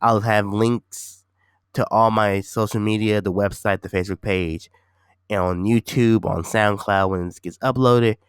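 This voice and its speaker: A man's voice: lowish voice, steady tone, a little slow, clear, resonant tone, no room sound, studio quality. A man hosting his own podcast, sounding nasal and congested from a cold, rambling casually with repeats and fillers. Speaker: podcast host. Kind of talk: casual solo podcast talk.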